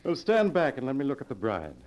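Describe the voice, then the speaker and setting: An actor playing an ingratiating, flattering American brother-in-law in 1940s radio style, slightly hesitant at times. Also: male voice, mid-range voice, fast. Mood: breezy, fond. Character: smooth-talking, ingratiating visiting brother-in-law. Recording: dull old radio recording.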